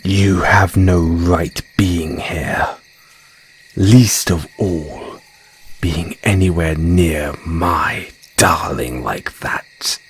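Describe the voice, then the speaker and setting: A man's voice: deep voice, very slow, husky, heavily acted. A man playing an obsessively loving, menacing male werewolf in an audio roleplay, with intense, dramatic delivery.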